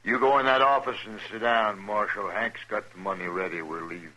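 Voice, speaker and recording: A man's voice: on the low side, fairly even intonation, a little fast, sharp tone, poor audio. An actor playing a cold-blooded, taunting bank robber in a 1950s western radio drama, drawling his threats. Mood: cold menace.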